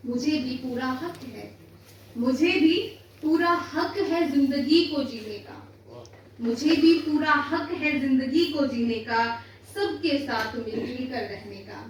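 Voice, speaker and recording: A woman's voice: sits high, slow pace, darkish tone. A woman reciting poetry in a declamatory manner, repeating lines for emphasis. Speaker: poet reciting own poem. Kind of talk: Hindi poetry recitation before listeners. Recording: roomy echo, distant mic, faint noise.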